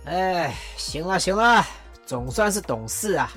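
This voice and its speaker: A woman's voice: on the low side, expressive, unhurried, music in the background. A woman playing a jovial, teasing male warlord chancellor in an audio drama, chuckling and mock-stern. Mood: fatherly.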